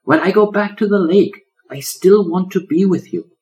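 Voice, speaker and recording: Man putting on a high, eager tiny turtle. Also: moderate pace, a little mellow, roomy sound, close to an everyday voice. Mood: eager, joyful. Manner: eager, wonderstruck, exclaiming. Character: tiny young male turtle, wide-eyed, innocent, adoring.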